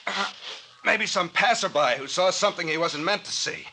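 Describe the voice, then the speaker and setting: Commanding, bright-voiced American captain. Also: male voice, high voice, normal speed, exaggerated voice. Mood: deliberate, reasoning. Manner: matter-of-fact, commanding. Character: cool, commanding male police-style captain, the mayor's son. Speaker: radio actor. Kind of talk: old-time radio mystery acting. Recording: faintly noisy old-time radio recording.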